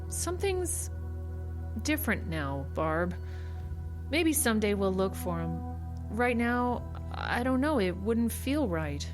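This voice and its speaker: An actress playing an anxious, questioning female time traveler in an audio drama, with an expressive delivery. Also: medium pitch, takes it slowly, music in the background. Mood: subdued, troubled.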